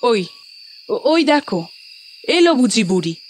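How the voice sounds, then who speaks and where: An actress playing a sullen, disapproving household maid who grumbles in an audio horror drama. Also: female voice, on the high side, big pitch swings, slow. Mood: sullen grumbling. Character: grumpy, disapproving female household servant.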